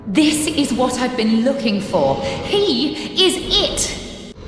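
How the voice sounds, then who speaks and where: A woman's voice: high-pitched, big pitch swings, slow, heavily acted. An actress playing a driven, intense female scholar in a fantasy video game, searching for her lost family. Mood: urgent, pleading. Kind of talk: dramatic video game dialogue. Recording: echoey hall reverb, music behind.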